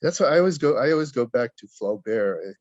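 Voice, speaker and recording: A man's voice: medium pitch, expressive, quick pace, darkish tone, dry recording. Older man, reflective, dull dry sound. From Philippines, raised in the United States. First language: Tagalog. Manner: reflective, searching for words, many restarts. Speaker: reading group participant. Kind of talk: casual group discussion.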